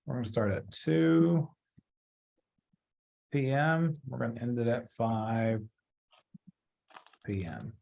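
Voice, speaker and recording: A man giving a casual software walkthrough as a trainer, chatty and informal, thinking aloud as he works. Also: mid-range voice, slow, gravelly, pauses often, lo-fi sound. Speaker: real estate trainer.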